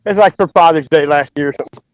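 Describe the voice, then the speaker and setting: A man's voice: on the high side, racing, dry recording, low-quality recording. A man chatting casually on a live stream outdoors, filming with a chest-mounted phone, rambling and swearing now and then. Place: outdoors in bright sun. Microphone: phone camera on chest.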